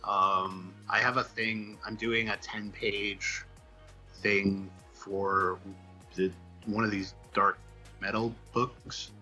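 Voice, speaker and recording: A man with a very bright, forceful voice talks as a guest in a casual interview. He tells stories in a rambling, wry way, over a faint bed of music. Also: lowish voice, flat delivery, slow, poor audio. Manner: rambling, wry, informal storytelling. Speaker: guest comics writer.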